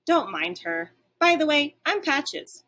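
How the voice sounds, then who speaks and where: A woman voicing a gentle, friendly female dog in a storybook, with a kindly manner. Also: sits high, sing-song, takes it slowly, pitched above the performer's own voice, heavily acted.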